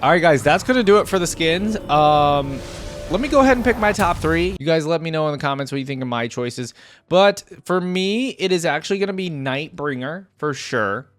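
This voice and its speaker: A man's voice: fairly high pitch, animated, moderate pace, soft background music. A man giving casual, informal reaction commentary as a video host, thinking aloud and at times reading out.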